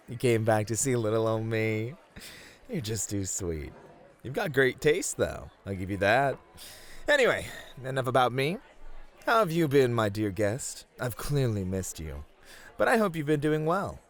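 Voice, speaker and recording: A man's voice: mid-range voice, very animated, unhurried. A man playing a flirty, doting bunny host in an ASMR roleplay, speaking affectionately and attentively to a guest. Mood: warm, flirty. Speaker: voice actor in an audio roleplay.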